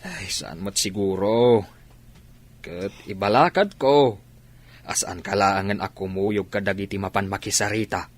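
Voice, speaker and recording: A man with an Ilocano accent playing a calm, reasonable uncle in a radio drama. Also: fairly low pitch, unhurried. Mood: advising. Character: calm, reasonable uncle mediating a family quarrel.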